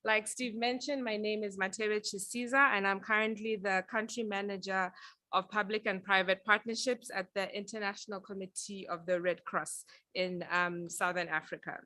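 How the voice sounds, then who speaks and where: A young woman from Zambia talks through her career path at a panel event in an earnest, reflective way. Her voice is bright and forward, recorded close and dry with almost no room sound. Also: medium pitch, steady tone, normal speed, dry recording. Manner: earnest, reflective, with some filler ums. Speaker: panel speaker. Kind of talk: prepared personal talk at a panel.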